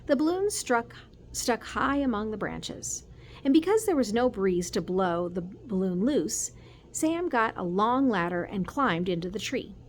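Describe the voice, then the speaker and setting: Serious storybook police chief father read by a woman, calm, methodical, gentle, slightly noisy recording. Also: medium pitch, measured pace, close to an everyday voice. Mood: measured. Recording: noticeable background noise.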